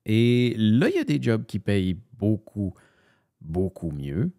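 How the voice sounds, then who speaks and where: A man with a very low, gravelly voice and a Canadian French accent talks casually, thinking aloud with frequent fillers and repeated starts. The sound is dry and close, with no room around it. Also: very animated, takes it slowly, mellow, no echo. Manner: rambling, many fillers and restarts, thinking aloud. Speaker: voice-over narrator. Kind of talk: casual screen-share commentary.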